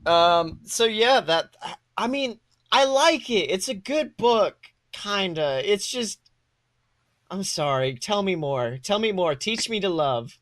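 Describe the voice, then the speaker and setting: A man's voice: high-pitched, animated, slow pace, a bit bright, dry recording, clean studio sound. A man co-hosting a podcast, bantering casually in an irreverent, slangy, foul-mouthed way. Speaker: podcast co-host.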